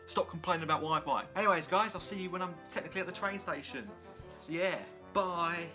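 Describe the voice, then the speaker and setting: A young man, chatting casually and informally to camera as a vlogger, rambling in an animated way. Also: sits high, a little fast, faint music behind. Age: young adult.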